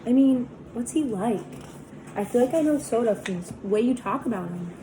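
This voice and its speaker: A woman's voice: fairly high pitch, animated, normal speed, background noise, pitched above the performer's own voice. A woman voicing an earnest, curious teenage girl who coaxes with questions. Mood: coaxing. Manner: coaxing, questioning.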